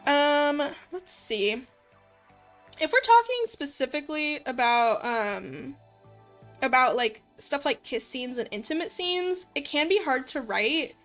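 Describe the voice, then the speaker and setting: A woman with a high voice hosts a casual livestream over background music. She thinks aloud in an informal, rambling way, a bit self-conscious as she goes. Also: big pitch swings, unhurried, fairly bright tone. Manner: informal, rambling, self-conscious, giggly, mutters while working. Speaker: livestream host and visual novel writer. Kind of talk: casual livestream chat while coding and reading viewer comments. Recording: music playing behind the voice.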